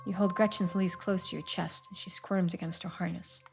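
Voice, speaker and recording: A woman's voice: on the low side, flat delivery, normal speed, gravelly, music in the background. A woman game streamer reading out a story game and chatting with her viewers during a live playthrough. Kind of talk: live playthrough narration and chat.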